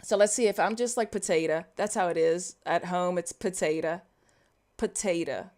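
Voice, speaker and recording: A woman's voice: on the low side, fairly even intonation, moderate pace, darkish tone. A woman from Louisiana with a Cajun English accent, casually chatting through a livestream coding tutorial. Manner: chatty, reads viewer comments aloud and riffs on them. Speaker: livestreaming developer.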